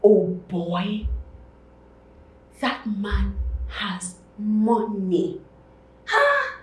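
A woman's voice: mid-range voice, darkish tone. A Nigerian woman playing a brash, vain, money-hungry young woman in a comic monologue, with sassy, exclamatory delivery. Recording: echoey room, background noise.

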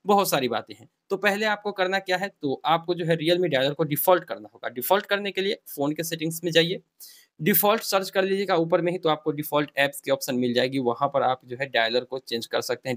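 A man talks viewers through a tech procedure step by step. His voice sits a little high for a man. The delivery is informal and explanatory, and the sound is very dry, with no room echo. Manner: explanatory, addresses viewers directly. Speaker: tech tutorial host. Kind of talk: informal step-by-step tutorial voice-over.

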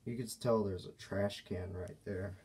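A man giving a casual, unscripted show-and-tell as a toy reviewer, speaking in halting, broken phrases. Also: lowish voice, fairly even intonation, background noise. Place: indoors at a table by a window.

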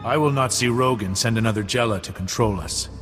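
A man's voice: medium pitch, brisk, long reverb tail, over music, lightly acted. An actor playing a grateful fugitive father in a video game, earnest and weary.

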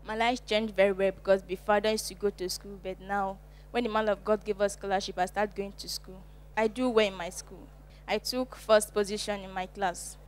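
A young woman of nineteen speaks with a Nigerian accent, reciting a testimony at a middle pitch. A faint hum sits behind her. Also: fairly even intonation, brisk. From Edo State, Nigeria. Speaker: student giving testimony.

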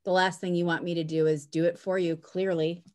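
A middle-aged woman with a fairly low voice moderates a webinar panel over a video call. She talks in a chatty, self-deprecating way. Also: level tone, a little fast, a little mellow. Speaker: host and moderator. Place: home room, indoors.

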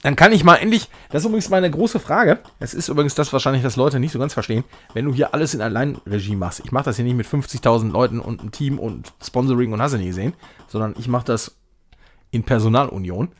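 A man's voice: medium pitch, fast talker, fairly bright tone. A man hosting a game stream, chatting casually and rambling as he thinks aloud while he plays. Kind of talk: casual live gaming commentary with chat.

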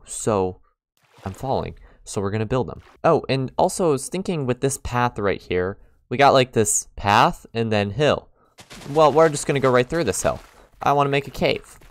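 A man's voice: medium pitch, dry recording, clean studio sound. A man talking through his gameplay in a casual, rambling way, with lots of fillers and restarts, his voice congested as he records in his room at home. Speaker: let's play host.

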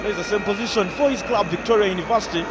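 High-voiced man calling a football match. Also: heavy background noise. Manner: brisk, descriptive. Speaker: play-by-play commentator. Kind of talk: live football commentary.

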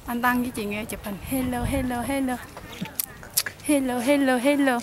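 A woman's voice: sits high, fairly even intonation, normal speed. A woman chatting casually and calling out to others at a sunny campground, recorded on a handheld camcorder. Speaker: camper. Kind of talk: casual chat. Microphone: handheld camcorder nearby. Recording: busy crowded outdoor camcorder audio. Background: chatter of a crowd, loud outdoor noise.

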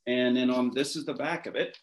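A man's voice: medium pitch, steady tone, fairly quick. A man in his fifties with an American accent, chatting casually as an interview guest on a video call. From born in France to American parents, raised between Europe, Washington and West Africa. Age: 50s. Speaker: interview guest, maritime professional. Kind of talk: casual reminiscing in a video conversation. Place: wood-beamed room at home, indoors.

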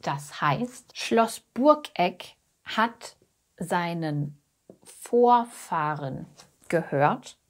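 Very slow-speaking young woman teaching. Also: lowish voice. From Germany. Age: young adult. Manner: deliberate, simple phrasing with pauses and emphasis on key words. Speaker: German teacher. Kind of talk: slow simplified storytelling lesson for language learners. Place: small attic room indoors. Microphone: lapel mic.

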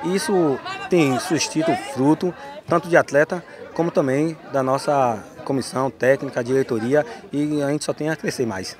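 A man's voice: fairly high pitch, normal speed. A man, a youth football coach, answering earnestly with many hesitations into a handheld mic outdoors. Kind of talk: outdoor interview. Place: stadium stands outdoors. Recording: crowd noise from a football ground. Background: crowd at a youth football match.

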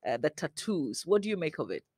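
A woman hosting a TV interview, asking lively, incredulous questions. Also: low voice, brisk, husky, no room sound, studio quality. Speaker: TV host. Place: TV studio.

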